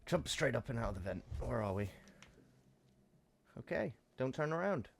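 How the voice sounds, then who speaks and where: A man with a croaky voice from a cold, chatting through gameplay commentary with joking asides. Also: medium pitch, sing-song, normal speed, a little hiss or hum. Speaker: let's play host.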